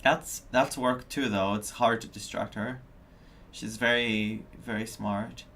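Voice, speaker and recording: A game streamer giving casual live commentary, musing and halting while thinking out loud. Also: medium pitch, steady tone, slight background noise.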